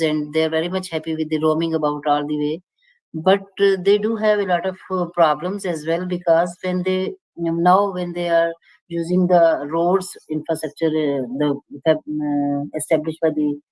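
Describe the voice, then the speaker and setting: A woman with a very low voice answers a question at a panel discussion. She talks in halting stretches, hunting for words and restarting phrases. Her tone stays explanatory throughout. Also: a little mellow, poor audio. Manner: hesitant, many fillers and restarts, explanatory. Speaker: panel speaker.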